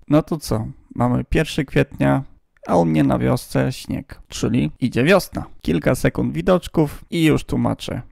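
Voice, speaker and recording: A man's voice: medium pitch, gravelly, darkish tone, dry recording. A man hosting a casual, explanatory hobby radio vlog, talking outdoors close to a handheld phone. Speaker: amateur radio hobbyist host.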